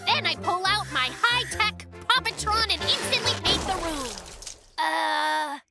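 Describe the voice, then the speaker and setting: An actor voicing an excitable, cocky little brown bear cub in a children's cartoon, boastful and theatrical in manner. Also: very high voice, unhurried, creaky, over loud music, full character voice. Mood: showing off.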